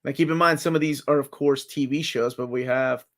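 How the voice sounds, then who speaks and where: A man in his forties hosting a casual live chat, talking excitedly like a fan as he reads and answers comments. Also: mid-range voice, fairly quick. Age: 40s. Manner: excitable fan, jumps between comments and guesses.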